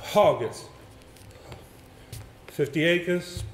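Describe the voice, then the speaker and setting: Man playing a high-voiced, guarded country innkeeper, echoey stage. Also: drawn-out words. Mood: guarded, curt. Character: reticent rural innkeeper, curt and guarded about local secrets. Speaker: amateur stage actor. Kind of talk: live stage play acting. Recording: echoey hall, stage play recording with hiss.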